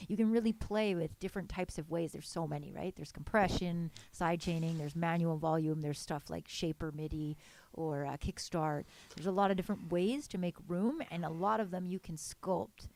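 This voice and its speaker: A young woman with a low voice, casually giving detailed, enthusiastic music mixing feedback on a live stream. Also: moderate pace, creaky, darkish tone. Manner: enthusiastic, detailed mixing tips with many fillers. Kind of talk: casual technical feedback chat.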